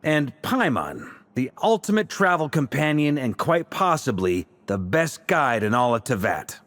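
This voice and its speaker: A man's voice: mid-range voice, very animated, a little slow, a bit bright, no echo. A man playing an affable, slightly awkward knightly leader in a video game, easygoing and expansive. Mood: genial, formal. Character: affable, casual male knightly leader hosting a gathering.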